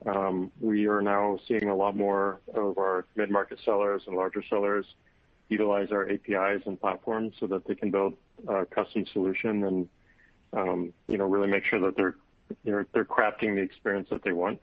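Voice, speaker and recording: A man with a fairly low, very even voice answers as a company executive. His phrasing is halting and unscripted. The recording is a narrow, phone-like conference line. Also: no echo. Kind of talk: unscripted business answer on an earnings call.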